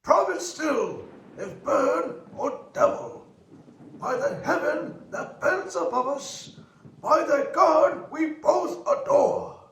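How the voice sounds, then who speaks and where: A man voicing a frantic, overwrought male character in a loud, strained, melodramatic way. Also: extremely high pitch, animated, takes it slowly, gravelly, roomy sound, recorded from a distance, slight background noise, pitched above the performer's own voice, heavily acted, low-quality recording.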